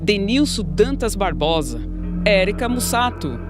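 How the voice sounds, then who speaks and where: A man's voice: high voice, big pitch swings, measured pace. A man with a Brazilian accent reading scripted radio credits as an announcer. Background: loud music.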